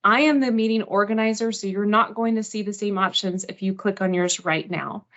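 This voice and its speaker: A woman hosting a live software training webinar in an informal, self-deprecating, reassuring way. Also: medium pitch, steady tone, brisk. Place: online video meeting. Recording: dull lo-fi online meeting audio.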